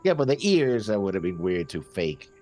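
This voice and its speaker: Low-voiced man with quick asides. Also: sing-song, a little mellow, faint music behind. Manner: brief, agreeable asides. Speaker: reaction show co-host. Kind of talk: casual reaction chat.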